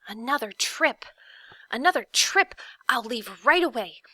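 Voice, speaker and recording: A woman voicing an excitable young boy in a storybook, eager and exclamatory. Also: on the high side, measured pace, dry recording, full character voice, low-quality recording. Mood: excited, eager.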